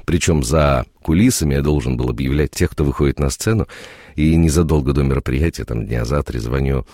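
A man's voice: very deep voice, fairly quick, dark tone, no room sound, studio quality. A man with a cold and a nasal voice, hosting a radio programme with theatrical, ornate phrasing. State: sick with a cold. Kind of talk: unhurried radio presenting and studio chat. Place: radio studio. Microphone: studio microphone.

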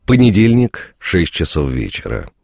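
A man's voice: sits low, huge pitch swings, unhurried, creaky, over music. A man with a cold and a nasal voice, hosting a radio programme with theatrical, ornate phrasing. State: sick with a cold. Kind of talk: unhurried radio presenting and studio chat. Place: radio studio. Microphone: studio microphone.

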